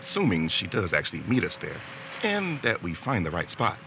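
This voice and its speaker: A man's voice: sits low, huge pitch swings, fairly quick, gravelly, full character voice. A man acting an awkward, wistful young boyfriend in a naturalistic audio drama, talking hesitantly. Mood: earnest, musing. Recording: dull lo-fi audio with faint background noise.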